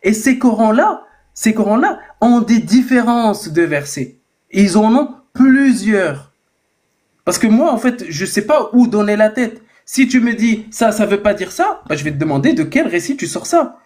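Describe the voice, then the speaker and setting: A man pushes hard in a live online debate and repeats his challenge over and over, demanding an answer. His voice sits a little higher than most men's. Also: very animated, normal speed, a little mellow. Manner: challenging, insistent questioning. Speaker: debate participant.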